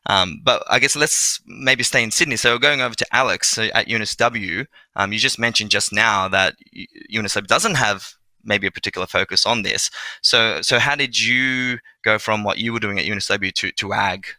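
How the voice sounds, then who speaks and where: A man's voice: lowish voice, moderate pace, sharp tone, no room sound, low-quality recording. A man moderating a panel discussion in an encouraging, conversational way, putting questions to guests.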